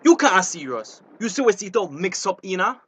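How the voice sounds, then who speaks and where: A man's voice: sits high, sing-song, normal speed, bright, forceful tone, husky, heavily acted. An actor playing an anxious, honest fisherman in a drama, pleading urgently in a Belizean Creole accent. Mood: disappointed. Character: anxious, honest male fisherman, wary of crime. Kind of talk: naturalistic dialect radio drama acting.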